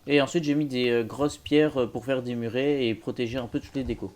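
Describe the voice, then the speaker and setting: A man with a dark, mellow voice hosts a casual video game build tour. His delivery is hesitant and halting, as he explains things piece by piece in an informal commentary style. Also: mid-range voice, steady tone. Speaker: host presenting his zoo build.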